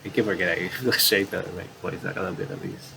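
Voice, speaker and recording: Guy doing lets play commentary, kinda low voice, fumbles his reading, noisy mic. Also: fairly even intonation, quick pace, gravelly. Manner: stumbles and restarts while reading. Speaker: gaming commentator. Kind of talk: casual let's play commentary, reading game text aloud.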